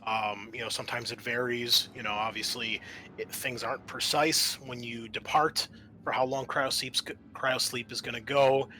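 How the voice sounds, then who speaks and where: A man acting as game master, explaining a tabletop roleplaying game in a halting way. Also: medium pitch, level tone, sharp tone, a little hiss or hum.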